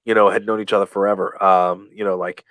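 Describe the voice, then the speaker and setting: A man being interviewed on a podcast, telling stories in a rambling way, full of fillers and restarts, and acting out quoted lines with lively voices. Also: sits low, quick pace, dry recording. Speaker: guest, professional wrestler. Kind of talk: casual storytelling in a podcast interview.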